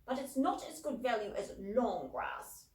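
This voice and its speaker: A woman's voice: fairly high pitch, lively intonation, a little mellow. A woman reading a breezy, coaxing mum. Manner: brisk, coaxing. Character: tactful, breezy mother. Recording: roomy echo.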